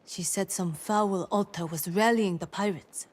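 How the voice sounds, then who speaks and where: Casting: woman for gracious game quest-giver, a female leader, medium pitch, stately and courteous delivery. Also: lightly acted. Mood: gracious, concerned. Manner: formal, measured, courteous. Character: gracious, trusting woman leader giving counsel. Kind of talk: scripted video game quest dialogue.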